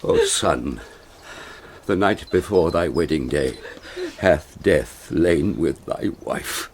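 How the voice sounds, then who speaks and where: A man's voice: a bass voice, animated, exaggerated voice. A British man playing a grief-stricken ageing nobleman and father in a classical Shakespearean radio drama, lamenting in heavy declamation. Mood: grieving. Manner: slow, heavily declaimed lamenting.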